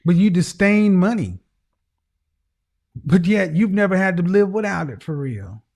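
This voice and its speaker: A man with a fairly high voice lays into a caller with blunt, lecturing advice, repeating his points for weight. He sounds middle-aged and talks over a video call. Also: animated, unhurried, vocal fry, a little mellow. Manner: harsh, lecturing, repeats phrases. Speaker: host.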